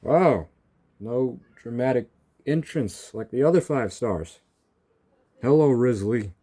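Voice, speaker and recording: Dark-voiced, sarcastic game streamer. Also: mid-range voice, lively intonation, slow pace, breathy edge. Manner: sarcastic, talks back to the characters. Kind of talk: casual gameplay reaction commentary.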